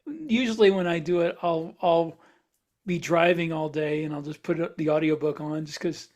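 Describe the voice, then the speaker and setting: A man's voice: a little high, normal speed, a little mellow. A man who writes novels, co-hosting a podcast and chatting casually with other authors.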